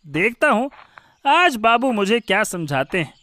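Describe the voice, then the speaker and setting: An actor playing an earnest village cowherd and milkman in an animated moral story. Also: high-pitched, unhurried, full character voice. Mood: cheerful. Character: earnest village cowherd, a male servant who delivers milk.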